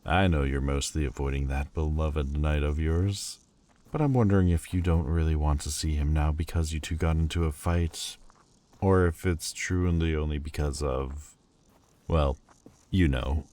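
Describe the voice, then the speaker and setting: A man's voice: very deep voice, moderate pace, darkish tone. A man voicing a proud, prickly male Lord of Demons in a fantasy audio roleplay, haughty and sardonic with a grudging tenderness. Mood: wistful. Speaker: voice actor.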